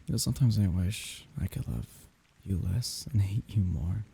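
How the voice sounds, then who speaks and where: A man acting a grieving lover saying farewell to his dead beloved in an intimate ASMR audio roleplay, speaking in a wry, tender, halting murmur. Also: animated, warm tone, exaggerated voice. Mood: aching, tender. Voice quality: husky, breathy, gravelly low voice.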